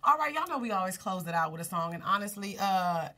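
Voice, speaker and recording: A young woman co-hosting a podcast in a small studio, a little hoarse, talking loudly and playfully with plenty of swearing. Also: medium pitch, lively intonation, quick pace. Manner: loud and playful, swears freely. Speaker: podcast co-host. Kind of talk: casual chat.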